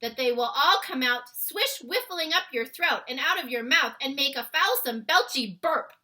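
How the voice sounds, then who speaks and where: Woman voicing a booming, exuberant friendly giant. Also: lively intonation, bright, forceful tone, full character voice. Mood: explaining, probing. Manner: booming, emphatic, drawn-out words. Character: big friendly old giant, exuberant, muddles his words.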